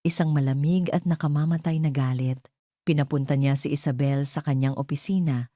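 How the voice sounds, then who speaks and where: A woman with a Filipino accent narrating a scripted dramatic story in a measured, storytelling way. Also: low-pitched, fairly even intonation, warm tone, no echo, studio quality.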